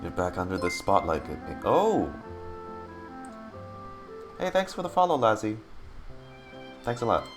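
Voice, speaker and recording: A man doing casual, expressive live game commentary, informally muttering to himself with a creaky voice. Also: on the low side, a little slow, pauses often. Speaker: streamer reacting to a game. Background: game music.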